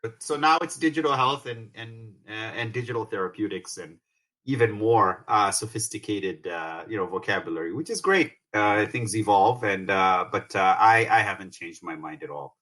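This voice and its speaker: A middle-aged man from Tanzania, a company founder, talking through his career in a relaxed interview with plenty of fillers and restarts. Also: lowish voice. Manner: halting, many fillers and restarts. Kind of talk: conversational interview.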